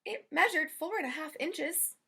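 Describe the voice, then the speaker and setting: A woman's voice: high-pitched, normal speed, creaky, pushed and bright, pitched above the performer's own voice, heavily acted. A woman voicing a cheerful, literal-minded storybook housemaid who is earnest and eager to please. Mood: proudly helpful. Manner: earnest, guileless, chirpy. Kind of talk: children's storybook character voice.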